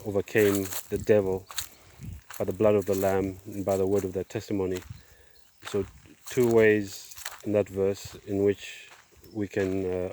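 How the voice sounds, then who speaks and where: A middle-aged man giving a personal testimony outdoors, speaking in a halting, measured way with pauses. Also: low-pitched, dark tone, a little hiss or hum. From Zimbabwe, born in Zambia. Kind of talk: personal testimony, casual monologue. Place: outdoors, on a walk.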